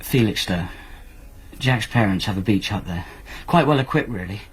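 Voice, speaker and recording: A worried young student looking out for a friend in a radio play. His voice is slightly rough, with wide, lively intonation. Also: fairly low pitch, normal speed. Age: young adult. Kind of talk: radio drama acting.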